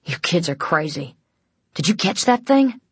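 Woman voicing a gruff, commanding middle-aged guard. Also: lowish voice, big pitch swings, a little slow, no room sound, voice pushed up, exaggerated voice. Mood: disgusted alarm. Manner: barking, curt.

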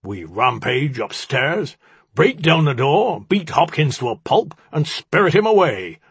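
Guy doing a big thick booming rat monster, blunt n scornful, really raspy, storybook villain energy. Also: big pitch swings, measured pace, dry recording, full character voice. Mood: brutish relish. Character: huge hairy rat-spirit brute, blunt and contemptuous.

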